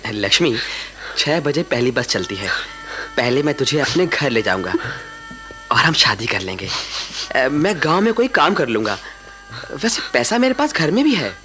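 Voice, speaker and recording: Bright-voiced ardent young lover, radio play. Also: male voice, mid-range voice, very animated, normal speed, soft background music. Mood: reassuring, resolute. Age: young adult. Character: young man, monastery resident, ardent reassuring lover. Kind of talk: Hindi radio play acting.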